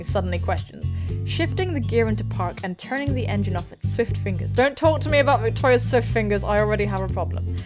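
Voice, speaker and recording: A woman hosting a let's play, joking bawdily and excitably as she reads visual novel text aloud. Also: a little high. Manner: bawdy, excitable, self-mocking joking. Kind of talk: playful gaming commentary while reading visual novel text aloud. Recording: music playing behind the voice.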